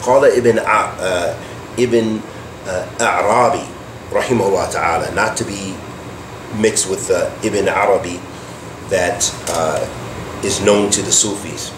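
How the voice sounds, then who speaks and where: Man, lowish voice, religious teacher, deliberate explaining, echoey room, air conditioner humming. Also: steady tone, noisy background. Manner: deliberate, explains terms, asks and answers his own questions. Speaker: Islamic teacher. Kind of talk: religious lecture.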